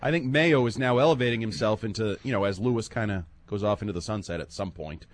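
A man hosting a sports radio show, talking casually and with animation, dropping low and quiet when he muses. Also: fast.

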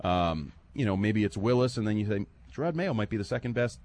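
A man hosting a sports radio show, talking casually and with animation, dropping low and quiet when he muses. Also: lively intonation, a little fast, a little hiss or hum.

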